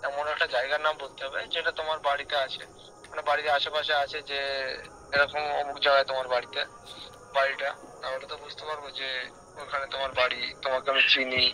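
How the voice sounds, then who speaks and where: A man's voice: mid-range voice, flat delivery, fast, pushed and bright, slight background noise, rough recording. A man in a casual phone conversation, questioning the other person insistently. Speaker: prank call recipient.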